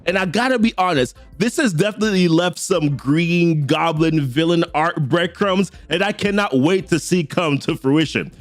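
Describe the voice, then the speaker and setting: A man's voice: a little high, dry recording, faint music behind. A young man with a Jamaican accent, recapping a comic story in a casual, slangy way. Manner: chatty, slangy, reads character lines in quick quotes. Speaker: comic book channel host.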